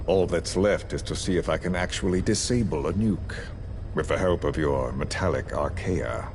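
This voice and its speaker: An actor playing a calm, scheming villain who speaks in a slow, measured and quietly threatening way. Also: male voice, rumbling low. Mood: menacing. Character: cold, menacing male villain, calm and scheming. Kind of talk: game cutscene dialogue. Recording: noisy game audio recording.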